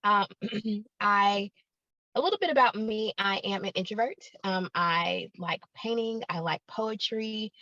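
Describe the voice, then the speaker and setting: A woman, a podcast guest who talks softly and hesitantly with many pauses. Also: medium pitch, dry recording, muffled recording. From Alabama, United States. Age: 30s. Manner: soft-spoken, hesitant with many ums, laughs easily. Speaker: guest, YouTuber. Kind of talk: casual podcast chat.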